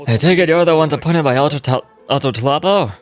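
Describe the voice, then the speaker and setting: A man's voice: a little high, fast talker, soft background music, natural voice. A man playing a direct, businesslike male guide, reading dialogue from a fantasy video game. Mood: brisk, businesslike.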